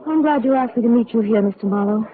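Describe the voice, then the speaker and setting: A woman's voice: on the high side, quick pace, natural voice. An actress playing a heartbroken woman clinging to her lost love, pleading and emotional in 1940s radio drama style. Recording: tinny old radio broadcast with faint hiss.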